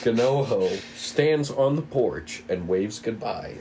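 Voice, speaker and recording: Slow-paced man narrating a script. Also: on the low side, lively intonation, warm tone, slight background noise, rough recording. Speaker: table-read narrator. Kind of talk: reading script stage directions aloud.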